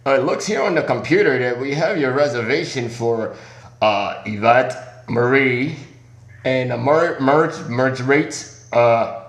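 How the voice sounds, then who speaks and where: An echoey recording of a man of middling pitch on a prank phone call. He plays an airline agent, delivering polite, scripted patter in a halting way. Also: animated. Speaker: prank caller.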